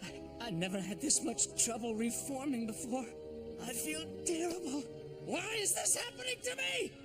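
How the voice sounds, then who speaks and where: An actor playing a jealous, obsessive young villain in an animated series, agitated and melodramatic. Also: male voice, extremely high pitch, moderate pace. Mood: distressed. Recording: loud music under the voice.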